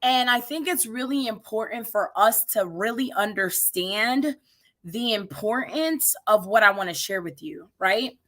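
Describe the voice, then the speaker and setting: A woman in her thirties hosting an energetic motivational live stream, talking straight to her viewers, her voice a little raspy today. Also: fairly high pitch, measured pace. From United States. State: slightly raspy voice. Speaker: livestream host.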